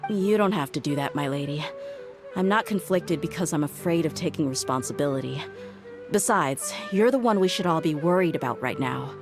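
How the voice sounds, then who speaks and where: Frank, tough female mercenary for a game story: lowish voice, firm and loyal, clear music behind. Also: animated, fairly quick. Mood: sincere, respectful. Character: tough, loyal young woman mercenary bodyguard, frank and principled. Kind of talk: anime-style video game voice acting.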